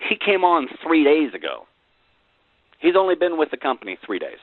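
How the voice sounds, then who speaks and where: A man giving an emphatic motivational sales pitch, repeating phrases for effect and tossing in folksy rhetorical questions. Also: very animated, normal speed, no room sound. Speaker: network marketing presenter on a company conference call. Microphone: telephone. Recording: tinny, phone-like conference call line.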